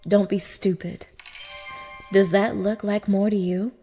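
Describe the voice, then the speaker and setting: A cruel young dominatrix played by a woman in an audio roleplay. Her voice sits at a middling pitch for a woman, close and intimate, and she sneers at the listener with haughty, belittling scorn. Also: fairly even intonation, unhurried, mellow, soft background music, natural voice. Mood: greedy, scornful. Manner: sneering, scornful, swearing, swinging from breathy murmurs to raised snaps. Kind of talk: intimate ASMR roleplay acting.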